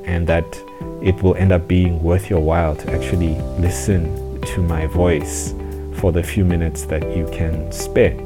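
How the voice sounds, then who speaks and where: A man, hosting a solo podcast and speaking reflectively, with frequent restarts and hesitations. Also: low voice. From South Africa. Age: 30s. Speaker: podcast host.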